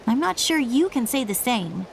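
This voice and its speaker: High-voiced, plucky younger sister. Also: female voice, very animated, moderate pace, vocal fry, slight background noise. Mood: stubborn, worried. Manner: insistent, plucky. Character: stubborn, spirited younger sister, eager young tribeswoman. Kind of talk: video game voice acting. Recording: game music behind the voice.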